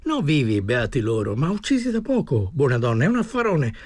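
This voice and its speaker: A man's voice: mid-range voice, huge pitch swings, fairly quick, darkish tone, no echo. A man voicing a desperate, cajoling male character in a storybook, pleading insistently. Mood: coaxing. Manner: coaxing, insistent, theatrical pleading.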